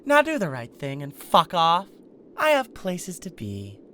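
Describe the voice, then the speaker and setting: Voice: mid-range voice, wildly swooping pitch, measured pace, fairly bright tone, a little hiss or hum. A performer playing a cocky, protective male king of Hell in an ASMR roleplay, sarcastic and defiant. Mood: smug sarcasm.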